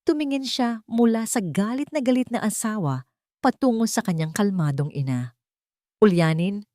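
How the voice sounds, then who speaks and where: A woman's voice: fairly high pitch, expressive, moderate pace, mellow, no room sound, studio quality. A woman delivering dramatic scripted story narration.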